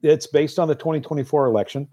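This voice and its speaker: A man giving a casual interview as a film producer and guest, speaking in a halting, thoughtful way. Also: a little high, mellow. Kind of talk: casual talk-show interview.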